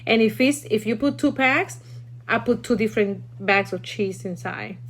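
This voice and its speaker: A woman's voice: a little high, moderate pace. A woman from Puerto Rico with a Puerto Rican accent, a native Spanish speaker, chatting and instructing casually as host of a livestream. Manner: chatty, instructive, often tags sentences with "okay?". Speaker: livestream host teaching food storage. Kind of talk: casual livestream chat and demonstration. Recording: noisy room, live stream.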